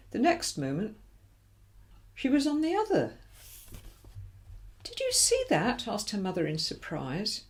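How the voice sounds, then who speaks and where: Animated woman reading a children's story, slightly creaky. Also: medium pitch. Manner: animated, dramatic emphasis for young listeners. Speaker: volunteer story reader. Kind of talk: expressive children's storybook narration.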